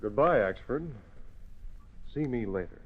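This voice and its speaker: An actor playing a determined, authoritative newspaper publisher in old-time radio drama, speaking formally and decisively. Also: male voice, mid-range voice, animated, unhurried. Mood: businesslike. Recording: noisy, tinny old radio recording.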